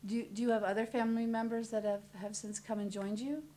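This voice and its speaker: A woman's voice: fairly high pitch, flat delivery, fast talker, warm tone, a little hiss or hum. A woman interviewing a guest, friendly, often restarting her questions.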